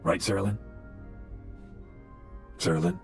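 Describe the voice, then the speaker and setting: An actor playing a self-absorbed washed-up horse actor, speaking in a wistful, musing way. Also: male voice, unhurried, faint music behind, lightly acted. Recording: roomy clip from far off.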